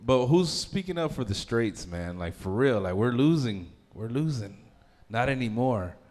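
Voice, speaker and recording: A man's voice: medium pitch, expressive, moderate pace, darkish tone. A man performing a crude, rambling stand-up comedy set, often laughing at his own jokes and filling gaps with uh and um. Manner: throws in lots of fillers like uh and um.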